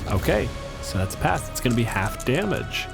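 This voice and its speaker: A man acting as game master, narrating and explaining rules in a measured, matter-of-fact manner. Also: medium pitch, big pitch swings, gravelly. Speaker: game master, podcast host. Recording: music bed behind the voice.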